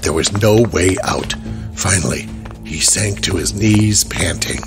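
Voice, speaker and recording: A man narrating a horror audio drama in a grave, measured storytelling manner. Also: low-pitched, over music.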